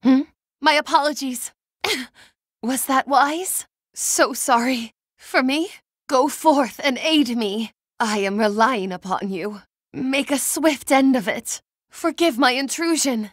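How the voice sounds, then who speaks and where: A meek, gloomy young noblewoman from a video game. She speaks slowly and formally, forever apologetic and down on herself. The recording is dry and close. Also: sits high, no echo. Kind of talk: short battle voice clips.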